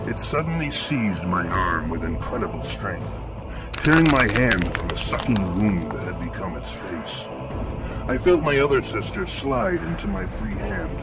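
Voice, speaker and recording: An actor playing a grim, eloquent killer who narrates horror fiction in a measured, florid, theatrical manner. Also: male voice, on the low side, poor audio. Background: music.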